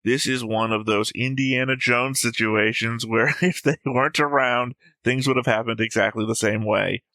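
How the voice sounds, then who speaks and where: Bright-voiced man reviewing his notes. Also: medium pitch, fairly even intonation, normal speed, dry recording. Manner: analytical, jokey, acts out quotes. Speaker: reaction show co-host. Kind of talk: casual discussion reading notes.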